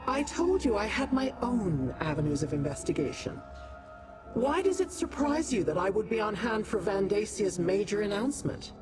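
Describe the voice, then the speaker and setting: An actress voicing a haughty, sardonic demon woman in a video game, condescending and theatrical. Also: mid-range voice. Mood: amused, mocking. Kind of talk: scripted video game dialogue. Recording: game music behind the voice.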